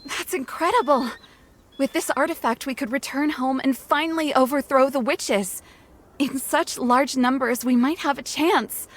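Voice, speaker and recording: A woman plays a young female werewolf in a dramatic game story. Her voice sits fairly high, and she speaks with earnest hope and firm resolve, pleading for her cause. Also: moderate pace, close to an everyday voice. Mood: excited. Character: determined, hopeful young female werewolf seeking freedom. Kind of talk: dramatic game cutscene acting.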